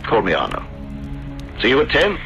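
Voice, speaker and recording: An actor playing a middle-aged, courtly, scheming gentleman in a theatrical 1970s radio drama, hesitating for effect. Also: medium pitch, wildly swooping pitch, normal speed. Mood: coaxing. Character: smooth, scheming middle-aged Italian gentleman hiding a secret. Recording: dull vintage radio broadcast, music behind.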